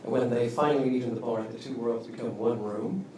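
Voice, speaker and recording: A man's voice: medium pitch, brisk. A man hosting a panel talk speaks informally as he moderates. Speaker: panel host. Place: indoor event room with audience. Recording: very echoey, noisy room, distant microphone.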